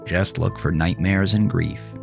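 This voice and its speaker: Guy hosting a horror podcast, really low voice, scripted sign off, music behind him. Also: steady tone, measured pace, gravelly.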